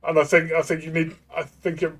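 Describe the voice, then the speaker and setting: A man co-hosting a game review discussion, speaking casually in a thoughtful, halting way. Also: on the high side, monotone, a bit bright, soft background music. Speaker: co-host of a tabletop game review show.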